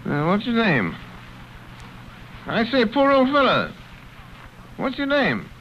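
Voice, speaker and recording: An actor playing a rambling, drunk gentleman in a vintage radio drama, with slurred, sing-song speech. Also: extremely high pitch, wildly swooping pitch, takes it slowly, slight background noise, exaggerated voice. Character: drunk upper-class English gentleman, rambling. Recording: dull lo-fi old radio recording.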